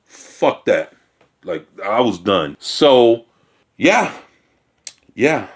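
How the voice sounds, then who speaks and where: A man talks through a news piece in a loose, unscripted way, reading parts aloud and then cutting in with blunt, profane opinions. His voice sits at an ordinary middle pitch. He often trips over and restarts his sentences as he goes. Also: sing-song, very slow, husky. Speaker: video commentator. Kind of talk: casual reaction rant reading news aloud.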